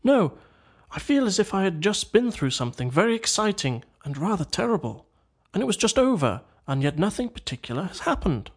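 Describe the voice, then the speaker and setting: Man as gentle storybook mole, male, drowsy, wistful, lightly raised pitch. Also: very animated, a little fast, slightly rough, full character voice. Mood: weary, wondering. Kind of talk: storybook character dialogue.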